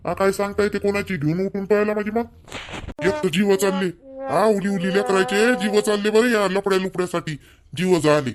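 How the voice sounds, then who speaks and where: A man's voice: sits high, fairly quick, a crowd in the background, natural voice. A man playing an insistent village uncle in a cartoon, reasoning persuasively in Vidarbha Marathi. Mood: firm, urging.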